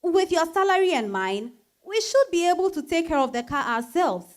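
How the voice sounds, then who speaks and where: A woman's voice: extremely high pitch, very animated, normal speed. A woman with a Ghanaian accent playing a harried, persistent working mother in a dramatised stage reading, speaking with emphatic reasoning. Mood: insistent.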